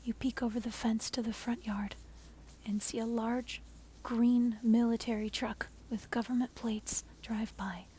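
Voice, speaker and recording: A woman telling a scary story in a breathy, unvoiced ASMR whisper, close to the microphone. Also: fairly high pitch, little pitch movement, unhurried. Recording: soft noise, mic scratching. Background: scratching and layered sounds.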